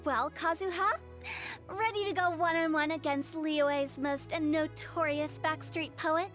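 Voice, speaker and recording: A playful, cocky young woman from a video game, talks in a very high voice. She is theatrical and teasing, showy with every line, over music. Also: steady tone, a little slow, a bit bright. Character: playful, theatrical, cocky young female funeral director and poet.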